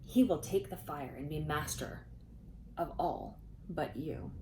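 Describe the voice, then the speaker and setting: A woman's voice: low-pitched, animated, slow pace, a little mellow, slight background noise, voice pushed down, heavily acted, rough recording. Woman voicing a solemn, kindly male sky spirit. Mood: solemn, consoling.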